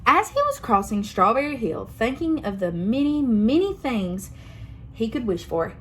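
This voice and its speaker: A young woman reading a picture book aloud to children, expressive and pausing to ask them questions. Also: on the high side, a little hiss or hum, poor audio. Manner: expressive, asks listeners questions. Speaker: children's story reader.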